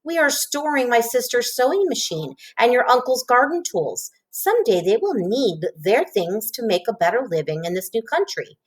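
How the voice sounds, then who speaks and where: Mujer haciendo de madre práctica, expresiva y cariñosa. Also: female voice, on the high side, sing-song, normal speed, few pauses, far from the mic, rough recording. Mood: gently refusing. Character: practical, caring Mexican immigrant mother of a big family.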